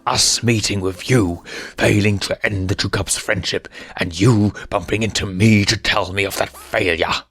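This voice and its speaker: A man voicing a menacing, contemptuous lion villain in a story, with raspy, drawn-out threats. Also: lowish voice, steady tone, fairly bright tone, faint music behind.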